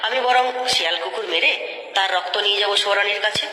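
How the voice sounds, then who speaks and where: An actor playing a gruff but soft-hearted royal executioner in a cartoon tale, speaking in a theatrical way. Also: male voice, sits high, brisk. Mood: tender, urgent. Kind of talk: Bengali cartoon story dialogue. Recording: echoey hall-like reverb.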